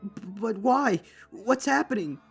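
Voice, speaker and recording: Woman voicing a whimpering little boy dragon, swooping pitch. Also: animated, a little slow, vocal fry, husky, heavily acted. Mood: panicked. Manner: whimpering, warbling, nervous. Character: frightened young male baby dragon, anxious and tearful. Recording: music behind.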